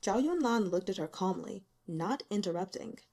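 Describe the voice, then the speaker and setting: A woman reading a novel aloud as expressive scripted narration. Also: medium pitch, moderate pace. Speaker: audiobook reader.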